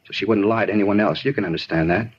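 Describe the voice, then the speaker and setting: An actor playing a talkative, disturbed murder suspect in a 1950s radio drama, rambling and insistent in an American accent. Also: male voice, fairly low pitch, rapid-fire, breathy edge. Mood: intense, self-justifying. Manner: rambling, repetitive, insistent, plain-spoken. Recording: dull vintage radio recording.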